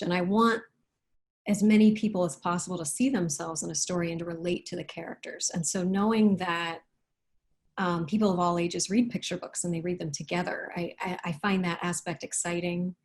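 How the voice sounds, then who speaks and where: A woman with a soft, breathy voice, speaking in a thoughtful, unhurried manner as a picture book author. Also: lowish voice. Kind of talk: read-aloud storytelling and reflective interview talk.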